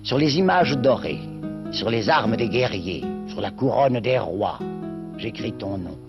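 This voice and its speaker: Man, poetry recitation, slow and measured, loud guitar backing. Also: medium pitch, animated, gravelly. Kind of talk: poetry recitation over music. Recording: loud music underneath.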